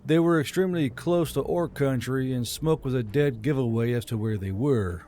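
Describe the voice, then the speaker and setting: A man's voice: medium pitch, moderate pace, mellow, a little hiss or hum. A man reading scripted fantasy fiction as an audiobook narrator. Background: faint music.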